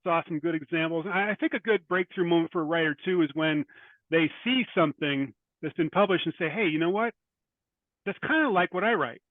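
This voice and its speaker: Male interview guest, writer. Slightly high voice, close dry sound, thoughtful stop-and-start delivery. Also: dry recording, phone-quality sound. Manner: thoughtful, halting, repeats words. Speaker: guest, short fiction writer. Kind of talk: interview conversation.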